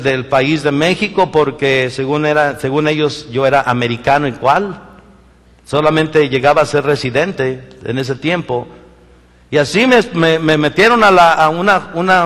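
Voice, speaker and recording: A Mexican pastor preaches in a somewhat high voice and tells his own stories with energy, acting out the voices of the people in them. He often stops and restarts his sentences as he talks. The sound rings with the long reverb of a church hall. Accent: Mexican Spanish. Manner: animated storytelling, acts out quoted voices, many restarts. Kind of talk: sermon with personal stories. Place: church sanctuary.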